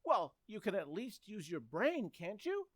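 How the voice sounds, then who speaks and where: A woman playing an anxious, excitable teenage boy with whiny, flustered exclamations in old-time radio comedy style. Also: mid-range voice, a little slow, no room sound, clean studio sound.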